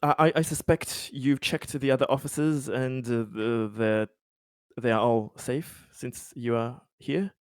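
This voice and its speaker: A man improvising as a player in a tabletop roleplaying game, halting and hesitant, often stammering over repeated words. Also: medium pitch, smooth, no room sound, studio quality.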